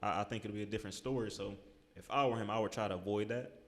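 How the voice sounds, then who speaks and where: A man with a fairly low voice weighs in on fights in short, sure statements. He sounds plain and assured, speaking in a casual panel chat. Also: fairly even intonation, racing. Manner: confident, direct opinions. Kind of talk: casual boxing talk show.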